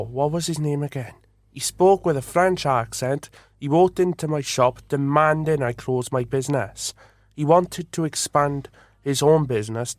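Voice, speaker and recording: A man's voice: a little high, no room sound, clean studio sound, natural voice. An actor, a man, playing a grateful, earnest shopkeeper with a hesitant manner in a comedic radio drama. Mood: recalling. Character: grateful, earnest male shopkeeper, crime victim.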